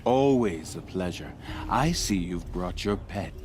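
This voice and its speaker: A man's voice: low-pitched, expressive, measured pace, a little mellow, a little hiss or hum. A man playing a haughty, condescending leader of a hunters' lodge in a video game cutscene. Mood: haughty disdain. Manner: condescending, drawling.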